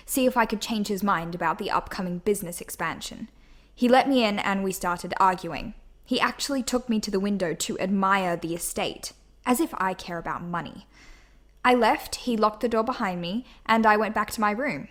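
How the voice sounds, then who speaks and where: A woman's voice: mid-range voice, lightly acted. A woman playing a rich man's defensive, earnest daughter giving testimony in a radio mystery play. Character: defensive, principled tall daughter of a murdered tycoon.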